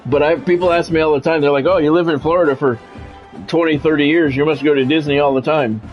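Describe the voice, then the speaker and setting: A man's voice: fairly high pitch, fairly even intonation, fast, muffled recording, faint music behind. A middle-aged American man with a blunt, grumbling manner, switching between casual profane chat and sports play-by-play on a livestream. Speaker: game streamer and sports play-by-play announcer.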